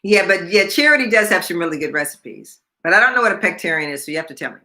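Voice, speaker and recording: A woman's voice: on the low side, sing-song, fast, pushed and bright. A woman in her sixties hosting a casual live stream, chatting, repeating herself and reading viewer comments aloud. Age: 60s. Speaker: livestream host.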